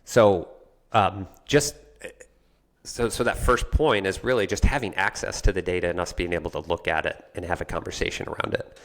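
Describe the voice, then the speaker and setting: A man's voice: deep voice, steady tone, normal speed. A man talking through an explanation in a conversational way, like a guest on a business podcast.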